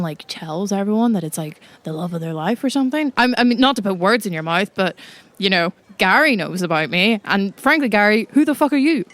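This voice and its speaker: A woman's voice: mid-range voice, natural voice. A woman playing a guarded, sardonic ex-lover in improvised roleplay, with a blunt, wry, deflecting manner. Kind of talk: improvised tabletop roleplay acting.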